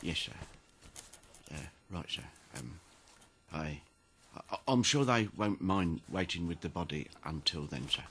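An actor playing a timid, deferential clerk in a radio drama, stammering, hesitant and apologetic. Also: male voice, low voice, lively intonation, unhurried, fairly bright tone, husky, full character voice. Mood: nervous, apologetic. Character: timid, deferential male clerk, nervous before his master.

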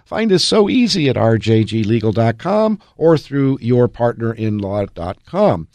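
An upbeat man in his sixties hosts a call-in advice show, folksy and quick to joke. His voice sits at an ordinary middle pitch. It is recorded dry and close to the studio mic. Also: sing-song, darkish tone, no echo. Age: 60s. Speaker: radio show host, attorney.

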